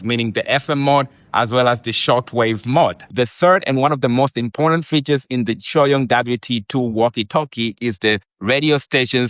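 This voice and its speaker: A man's voice: mid-range voice, a bit bright, no room sound, studio quality. A man presenting and demonstrating a product in an explanatory, repetitive way.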